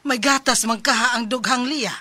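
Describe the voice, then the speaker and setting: A nagging, disapproving mother in a radio drama. Her voice is high and sharp-edged, with faint music behind it. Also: normal speed. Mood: scolding.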